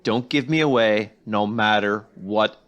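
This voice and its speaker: A man's voice: fairly low pitch, unhurried. A man playing Archie, an eager, easily flustered teenage boy who stammers, in old-time radio comedy style. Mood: panicky, hushed urgency. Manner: excitable, stammering when flustered. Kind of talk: 1940s radio comedy acting.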